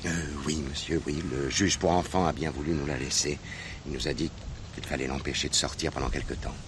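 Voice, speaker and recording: A man's voice: rumbling low, fairly even intonation. An actor playing an older, distressed, apologetic father in a French radio drama, speaking hesitantly and helplessly. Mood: hesitant, worried. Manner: hesitant, plaintive, halting. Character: distressed retired father, apologetic, helpless with his wayward daughter. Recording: noisy old radio drama recording.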